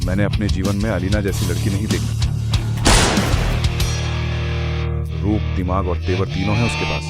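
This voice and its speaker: A man's voice: deep voice, flat delivery, fast talker, over loud music, natural voice. An actor playing a hearty, worldly businessman in an audio drama, speaking with emphatic, conversational delivery. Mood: awed, intense. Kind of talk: Hindi audio drama acting.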